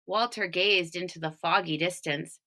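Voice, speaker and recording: A woman reading a children's story aloud expressively, with deliberate emphasis on key words. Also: on the low side, sharp tone. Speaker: storybook reader, teacher reading for home learning.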